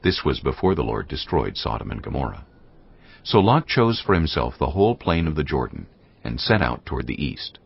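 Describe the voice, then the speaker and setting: A man narrating scripture in a measured, grave storytelling manner. The audio is dull and lo-fi. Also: a bass voice, big pitch swings, vocal fry, husky. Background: music.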